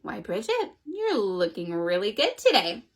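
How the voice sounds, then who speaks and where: A woman voicing a frantic, fussy mother in a children's story, with panicked, shrill cries. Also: wildly swooping pitch, unhurried, slightly rough, heavily acted. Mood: scolding.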